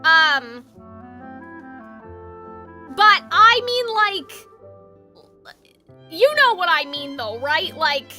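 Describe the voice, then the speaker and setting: Girl streamer chatting away over a game, super high bright voice, bit of music. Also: big pitch swings, drawn-out words, long pauses. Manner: chatty, reactive, rambling. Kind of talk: casual live gameplay commentary.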